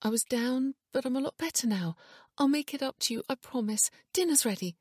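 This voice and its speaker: A woman's voice: high-pitched, big pitch swings, unhurried, no echo, clean studio sound, voice pushed up. A woman voicing an anxious, frightened wife in an audiobook, with a tremulous, pleading manner. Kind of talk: audiobook character dialogue.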